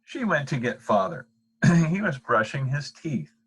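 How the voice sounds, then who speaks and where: An older man with a husky voice reading a children's bedtime story aloud. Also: mid-range voice, very animated, a little slow. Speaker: storybook reader.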